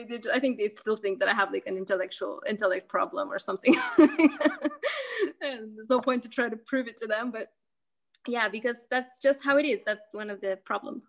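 A woman's voice: a little high, fairly bright tone. A young woman from Lithuania in a casual interview, talking hesitantly with many fillers and restarts. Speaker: podcast guest.

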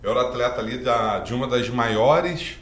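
A man's voice: mid-range voice, moderate pace, fairly bright tone. A man, a podcast guest telling his life story in a rambling, earnest way with lots of filler tags. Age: 40s. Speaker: guest, driving school owner. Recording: roomy podcast room.

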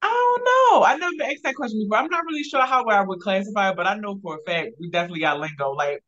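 A woman in her thirties with a Baltimore accent speaks as a relaxed interview guest. She talks easily and laughs often. The recording sounds slightly dull. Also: mid-range voice, fast. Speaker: guest, content creator. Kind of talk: casual interview chat.

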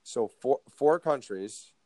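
A man's voice: mid-range voice, animated, slow, darkish tone, no echo. A man hosting a podcast interview, talking casually and often restarting and repeating words. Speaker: podcast host.

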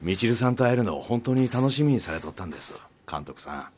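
An actor playing a considerate, peacemaking man at a seaside inn in a radio drama, with a husky voice. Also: mid-range voice, very animated, quick pace, darkish tone, slight background noise, close to an everyday voice. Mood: gentle, weary.

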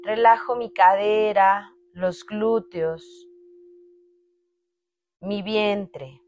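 A woman leading a guided meditation in a calm, unhurried way, speaking in short phrases with long pauses. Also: medium pitch, muffled recording. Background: meditation music with sustained tones.

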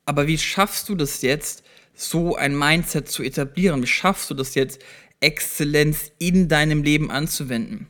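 A man's voice: a little high, normal speed, a bit bright. A man hosting a solo self-improvement podcast, talking directly to the listener and explaining step by step, conversational with small restarts. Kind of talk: solo motivational podcast talk.

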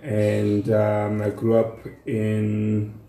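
A man in his thirties with a South African accent, speaking casually and haltingly as an interview guest. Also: on the low side, completely flat delivery, warm tone. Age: 30s. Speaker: podcast guest. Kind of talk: casual self-introduction. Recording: echoey room, faint background noise.

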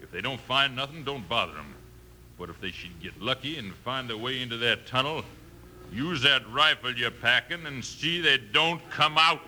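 An actor playing a surly, scheming American outlaw boss with gruff, bossy speech in an old western radio drama. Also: male voice, mid-range voice, moderate pace, slight background noise. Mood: menacing. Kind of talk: 1950s western radio acting. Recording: old radio broadcast with loud music.

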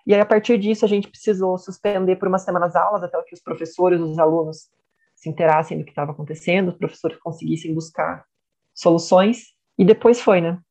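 A woman student recounting her university experience in a measured way, often checking in with tag questions. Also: on the low side, steady tone, a little mellow. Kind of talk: testimonial interview.

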